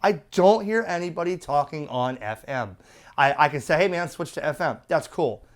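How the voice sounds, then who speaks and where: A man's voice: on the high side, lively intonation, normal speed. A middle-aged man giving a casual product review in a chatty, opinionated, colloquial way. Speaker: radio reviewer.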